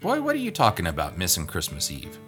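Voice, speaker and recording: A man's voice: sits low, wildly swooping pitch, fast talker, fairly bright tone, soft background music, heavily acted. A man voicing a stern, fussing mother in a storybook reading, scolding and exclaiming. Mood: indignant disbelief. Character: stern, fussing middle-aged-to-older mother, thin and tired.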